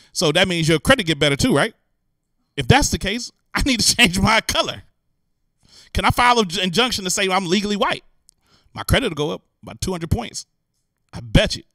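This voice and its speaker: Male comic news host: bright, punchy, a bit high, slangy and animated, dry sound. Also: dry recording. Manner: animated, incredulous, joking, slangy delivery. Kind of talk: comic commentary on news stories.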